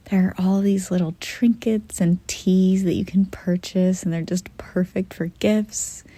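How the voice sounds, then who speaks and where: A woman softly narrating a guided visualization meditation in a gentle, breathy half-whisper, pausing often mid-sentence. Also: mid-range voice, no room sound.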